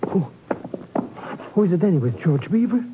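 An actor playing a cowardly comic sidekick in a 1950s radio drama, stammering through slangy, nervous protests. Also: male voice, sits high, sing-song, moderate pace, background noise. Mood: alarmed, bewildered. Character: bumbling, cowardly comic sidekick man, shifty and excitable. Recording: muffled 1950s radio recording.

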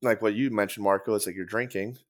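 A man's voice: fairly low pitch, steady tone, quick pace. A man talking casually on a podcast, rambling with many fillers, restarts and casual swearing. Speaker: podcast guest, former band guitarist. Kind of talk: casual conversation.

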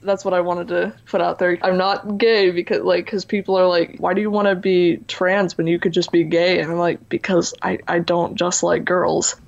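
A teenage boy who still has a high voice, talking earnestly as an interview guest and using lots of "you know" and "like". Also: flat delivery, moderate pace, vocal fry, few pauses, dull audio. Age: teens. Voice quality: high voice for a man. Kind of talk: candid interview talk.

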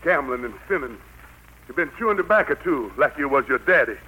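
Vintage radio drama the Lord as a folksy Southern preacher type. The voice is bright and forceful and rises and falls freely, gentle yet quick to admonish. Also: male voice, mid-range voice, expressive, husky, low-quality recording. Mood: scolding. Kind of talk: 1940s radio drama acting. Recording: vintage 1940s radio broadcast.

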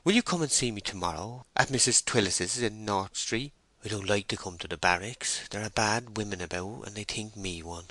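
A man's voice: on the low side, normal speed, hardly any pauses, sharp tone, no room sound. A man voicing a timid, lovesick young woman in a storybook reading, tremulous, hesitant and pleading. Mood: meek, downcast.

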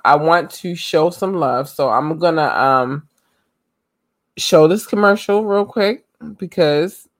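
A woman hosting her podcast, her voice getting hoarse. She talks loosely with lots of ums and slang. The sound is close and dry, with no room around it. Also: sits low, no echo. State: losing her voice. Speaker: podcast host. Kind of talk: casual live podcast talk.

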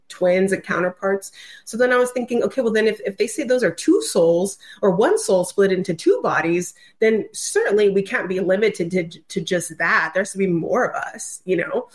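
A woman with a mid-range voice talks as a guest on a podcast. She explains things conversationally and loosely, sprinkling in casual filler phrases. The delivery is informal and unscripted. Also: medium pitch. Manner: informal, loose, peppered with "like" and "you know".

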